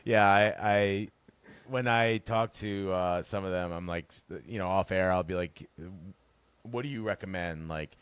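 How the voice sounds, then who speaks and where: Podcast guest: man, deep bass voice, loose rambling talk, dry close sound. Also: measured pace, smooth, no echo. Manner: rambling, relaxed, laughs often. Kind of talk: casual interview chat.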